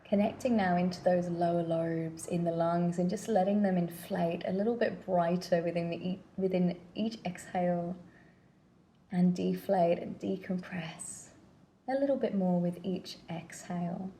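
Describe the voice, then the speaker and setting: Woman doing guided meditation, really slow and soft-edged mellow voice, gentle, lots of pauses. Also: lowish voice, steady tone. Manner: gentle, unhurried, pausing between phrases.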